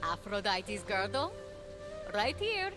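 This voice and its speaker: An actress playing a plucky, wry young heroine of Greek myth in a video game, sassy and expressive. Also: female voice, medium pitch, slow pace, music in the background. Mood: wry, confident. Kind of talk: animated video game cutscene acting.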